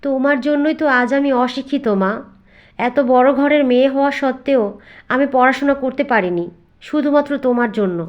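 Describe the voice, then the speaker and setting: Woman as a high, plaintive young village stepdaughter. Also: a little fast, lo-fi sound, lightly acted. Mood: pleading, hurt. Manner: plaintive, earnest. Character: simple, wronged young village stepdaughter telling her tale. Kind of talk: storybook narration and cartoon dialogue.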